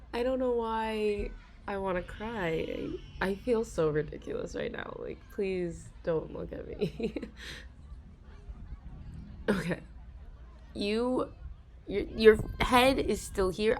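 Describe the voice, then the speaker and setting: Woman, young adult, slightly low voice, soft, giggly, halting, ASMR role-play, confiding. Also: slight background noise. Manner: soft, giggly, self-conscious, halting with many restarts.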